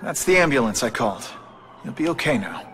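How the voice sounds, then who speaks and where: An actor playing a young, principled superhero in a video game, conversational and wry. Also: male voice, mid-range voice, very animated, fairly quick, background noise. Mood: calm, questioning. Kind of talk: video game cutscene acting. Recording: music under the voice.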